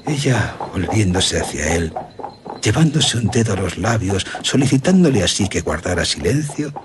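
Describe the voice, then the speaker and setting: Amid noticeable noise, a courtly Spanish general in a radio drama. His low voice moves widely in pitch as he recounts a horror with deliberate, remorseful gravity. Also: very animated. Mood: earnest recollection. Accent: Spanish from Spain. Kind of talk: radio drama acting, storytelling.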